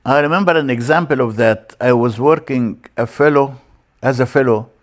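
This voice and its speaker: Older Egyptian-accented man, halting explanatory delivery. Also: medium pitch. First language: Arabic. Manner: halting, explanatory, with deliberate word-by-word emphasis. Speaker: church language teacher. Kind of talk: informal lecture.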